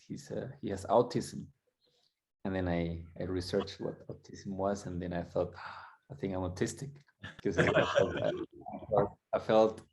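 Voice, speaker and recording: Low gravelly-voiced man from Colombia. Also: darkish tone, rough recording. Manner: reflective, halting with restarts. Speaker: guest coach. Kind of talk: candid storytelling.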